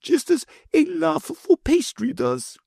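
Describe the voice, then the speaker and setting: Male reader as a haughty scheming noblewoman: shrill, falsely sweet, wildly swooping delivery. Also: huge pitch swings, slow, creaky, darkish tone, no echo, studio quality, full character voice. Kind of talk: audiobook character reading.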